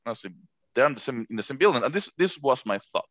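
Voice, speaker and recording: A man whose first language is Spanish, chatting on a podcast about managing rentals, halting with frequent restarts. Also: mid-range voice, big pitch swings, fairly bright tone, no room sound, highs cut off. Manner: halting, many restarts, laughs easily. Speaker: short-term rental manager. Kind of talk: casual podcast chat.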